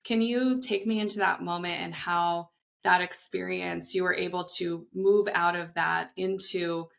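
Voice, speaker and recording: A woman's voice: lowish voice, steady tone, unhurried, creaky, fairly bright tone. A woman hosting a podcast, reading an upbeat scripted intro and then asking her guest questions in an eager, conversational way. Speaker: podcast host.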